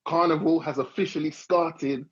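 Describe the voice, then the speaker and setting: Husky-voiced man hosting. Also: a little high, steady tone, moderate pace. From Dominica. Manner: playful, teasing, rambling questions. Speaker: interview host. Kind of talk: casual chat. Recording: dull lo-fi recording.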